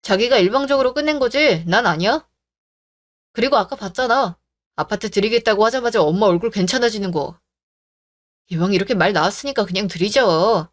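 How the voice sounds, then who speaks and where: A woman's voice: mid-range voice, brisk, close to an everyday voice. A woman voicing a young husband who pleads with his mother and pushes his wife, talking in a defensive way. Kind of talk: radio drama acting.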